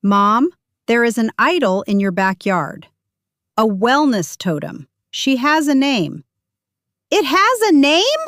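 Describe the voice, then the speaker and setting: A woman's voice: fairly high pitch, sing-song, takes it slowly, fairly bright tone, no echo, clean studio sound, voice pushed up, full character voice. A woman voicing an exasperated, incredulous grown daughter pleading urgently in an audio story.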